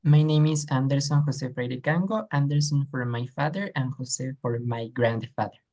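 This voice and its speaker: A man's voice: medium pitch, dark tone. A man from Ecuador, answering practice exam questions hesitantly with many fillers. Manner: hesitant, many ums and uhs, slowing to search for words. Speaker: exam candidate.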